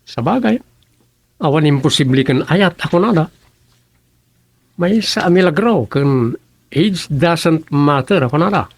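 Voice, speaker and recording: Bossy, talkative townsman for radio comedy-drama, played by a man: unhurried, gravelly, close dry sound. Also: on the high side, expressive, no room sound. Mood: gossipy.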